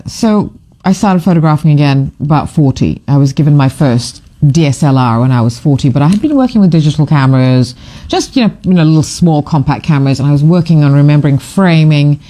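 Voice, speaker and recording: Gushing middle-aged photographer with a mellow voice. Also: mid-range voice, normal speed. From Fiji. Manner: rambling and digressive, with many fillers and restarts; gushing, enthusiastic. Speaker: photographer and author presenting a book. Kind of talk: casual live commentary to camera.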